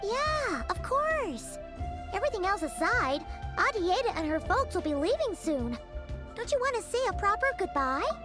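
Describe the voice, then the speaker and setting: A woman voicing a tiny, bubbly female companion in a game, with a high, squeaky, childlike voice and a chirpy, fussing way of talking. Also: very animated, moderate pace. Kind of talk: game voice acting. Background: loud game music.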